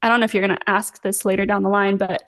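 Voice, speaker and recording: A woman's voice: mid-range voice, level tone, fast talker, fairly bright tone. A young woman from Los Angeles, an artist guest on a podcast, speaking hesitantly with many fillers and small laughs. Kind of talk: casual interview chat.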